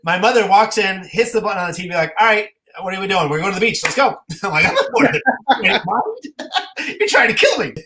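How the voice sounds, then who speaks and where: A man's voice: high-pitched, big pitch swings, brisk, sharp tone. A man chatting casually as an interviewed artist, telling rambling stories and acting out remembered dialogue. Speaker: comic book artist being interviewed. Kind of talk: casual chat.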